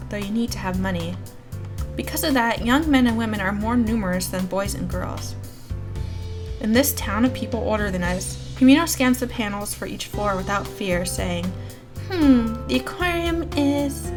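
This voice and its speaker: A woman hosting a gaming video, reading a visual novel aloud as an expressive storyteller with casual commentary. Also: medium pitch. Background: background music.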